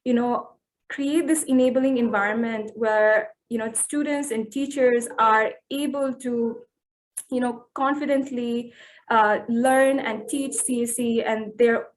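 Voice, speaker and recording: A woman's voice: a little high, steady tone, measured pace. A woman panelist speaking hesitantly, with many fillers. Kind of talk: panel talk.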